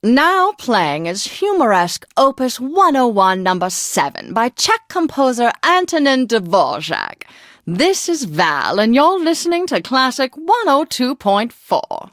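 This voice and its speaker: A woman's voice: on the high side, wildly swooping pitch, a little slow, a bit bright, no echo, clean studio sound, full character voice. An actress playing a refined, gushing woman who hosts classical radio with prim, old-fashioned theatrical diction. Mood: refined, chatty. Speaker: radio host. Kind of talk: scripted classical radio DJ patter.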